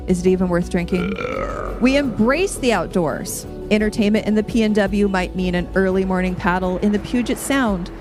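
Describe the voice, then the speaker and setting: An American woman reading a scripted persuasive speech as a podcast guest, playful and tongue-in-cheek in a mock-grand delivery. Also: mid-range voice. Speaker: podcast guest making a debate case. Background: music under the voice.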